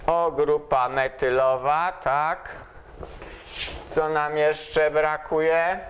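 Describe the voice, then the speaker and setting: An older man lecturing at a chalkboard in a large hall, pausing often to ask students questions as he writes. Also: fairly high pitch, level tone, fairly bright tone, highs cut off, a little hiss or hum. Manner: slow, asks students questions while writing on the board. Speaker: university lecturer.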